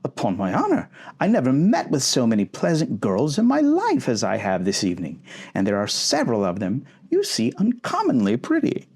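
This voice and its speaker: A man voicing a lively, good-natured young gentleman in a storybook reading, exclaiming eagerly. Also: normal speed, husky, heavily acted. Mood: enthusiastic. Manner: exclamatory, swooping up high.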